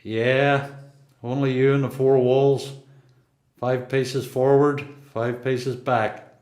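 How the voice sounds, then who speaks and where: An actor with a Northern Irish accent playing a seasoned, quietly defiant long-term prisoner in a radio play. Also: male voice, medium pitch, level tone, slow, natural voice. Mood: world-weary. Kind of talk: radio play dialogue and narration. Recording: echoey room.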